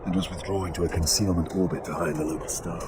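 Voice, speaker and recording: A man playing a grim inquisitor who narrates his dark investigations in a grave, measured, brooding game voice-over. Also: low voice, steady tone, very noisy, natural voice. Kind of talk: scripted first-person game narration. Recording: loud music behind.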